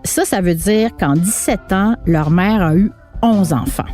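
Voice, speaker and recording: Woman narrator, mellow dark tone, measured doc read, soft music under. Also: mid-range voice. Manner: measured, documentary tone. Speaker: podcast narrator. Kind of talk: scripted narration.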